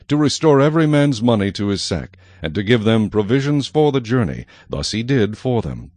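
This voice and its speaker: A man narrates scripture in a dramatized audio style. His voice is low and very animated in pitch, with a dry studio sound. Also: dry recording.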